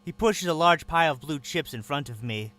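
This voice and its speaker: A man's voice: a little high, lively intonation, brisk, sharp tone. Tense first-person male narrator. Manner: tense, wary inner monologue. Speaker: narrator and captive lead.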